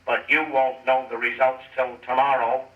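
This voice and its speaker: An actor playing a solemn, deadpan racetrack announcer in an old radio comedy, speaking formally and deliberately. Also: male voice, medium pitch, close to an everyday voice. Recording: echoey, distant, muffled loudspeaker sound.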